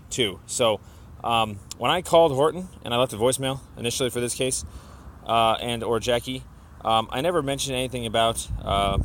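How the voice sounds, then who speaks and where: A man leaving a complaint voicemail, explaining his argument insistently and haltingly, often repeating himself. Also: medium pitch, a little hiss or hum.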